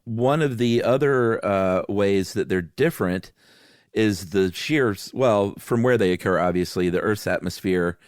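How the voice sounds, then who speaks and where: A man's voice: lowish voice, a little slow, no room sound, studio quality. A man co-hosting a podcast, chatting in a laid-back, informal way. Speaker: podcast co-host. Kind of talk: casual chat.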